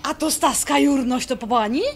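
An actress playing a perky, cheeky young bride-to-be with an eager, folksy manner in a radio drama. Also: female voice, high-pitched, normal speed, creaky, faint music behind.

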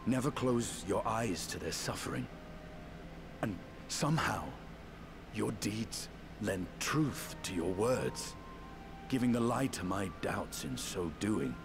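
Slow, low-voiced brooding elven warrior. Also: male voice, lively intonation. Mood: grave, earnest. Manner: slow, measured, solemn delivery. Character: brooding elven warrior man, grave, solemn, quietly loyal. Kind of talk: video game cutscene acting. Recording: game audio with music underneath.

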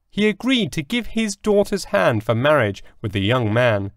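Male audiobook narrator for fairy tales: unhurried, measured storybook read, close dry sound. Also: fairly high pitch, no room sound. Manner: measured, storybook delivery with deliberate pauses. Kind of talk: scripted fairy tale narration.

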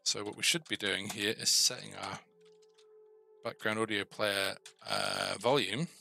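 A man's voice: fairly low pitch, steady tone, unhurried, vocal fry, sharp tone, faint music behind. A middle-aged man giving a casual coding tutorial, musing and asking himself questions as he works. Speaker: game developer presenting a coding series. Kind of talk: thinking aloud while coding, casual tutorial.

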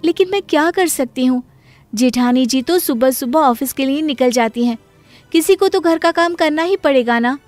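A woman voicing a simple, meek young daughter-in-law in a cartoon family story, gentle and deferential. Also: sits high, normal speed, dry recording, soft background music, lightly acted. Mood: resigned. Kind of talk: animated Hindi family story dialogue.

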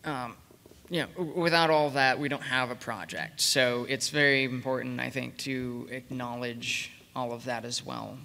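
Bright-voiced man in an echoey hall. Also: medium pitch, steady tone, moderate pace, creaky. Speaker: convention panelist, production team coordinator. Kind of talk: informal panel talk. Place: convention panel room with audience. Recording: echoey hall with long reverb.

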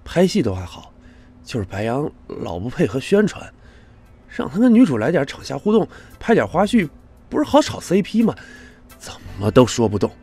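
Man acting a fawning, animated showbiz underling. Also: mid-range voice, moderate pace, husky, soft background music. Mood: complaining, wheedling. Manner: deferential, gossipy, wheedling. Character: chatty, fawning male TV director griping politely to bosses. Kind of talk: Chinese audio drama acting.

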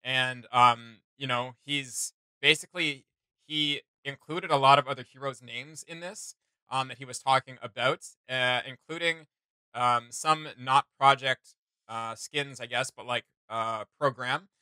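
A man's voice: mid-range voice, fairly even intonation, unhurried, pushed and bright, smooth, dry recording, rough recording. A young man giving casual commentary as host of a reaction video. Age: young adult. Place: small indoor home studio room.

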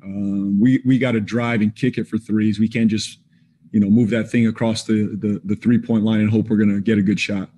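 A man in his forties, a basketball head coach, answering questions at a postgame press conference over a video call in a measured, plain-spoken way with frequent fillers. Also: on the low side, flat delivery, warm tone, breathy edge. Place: indoors in front of a team backdrop.

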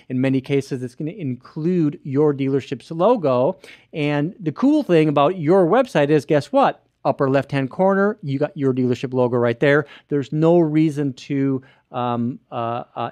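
A man's voice: a little high, a little mellow, no echo. A man in his thirties hosting an explanatory web show from a small studio, talking into a close podcast mic with many fillers and restarts. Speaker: host. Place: small studio with screen behind. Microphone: boom-arm podcast mic close to mouth.

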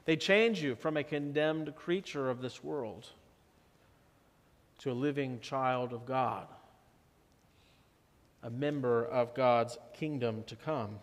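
A man in his thirties preaching a sermon as pastor, slow and measured, often dropping to a softer tone for emphasis. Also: medium pitch. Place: church sanctuary. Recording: long church reverb.

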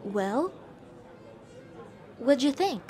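A woman's voice: sits high, very animated, drawn-out words, dark tone, full character voice. A young woman playing an affectionate, playfully bossy café-owner girlfriend in an ASMR roleplay, speaking expressively to the listener as her partner. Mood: playful, excited. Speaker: roleplay voice actor.

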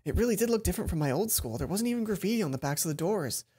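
A man's voice: high-pitched, expressive, rapid-fire, warm tone, breathy edge. A young man hosting a let's play, reading visual novel narration aloud and adding casual commentary. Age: young adult. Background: faint game music.

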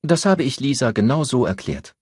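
A man voicing a brisk, frank older grandmother with a teasing, matter-of-fact manner in an audiobook. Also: mid-range voice, big pitch swings, darkish tone, husky, no room sound, clean studio sound, voice pushed up.

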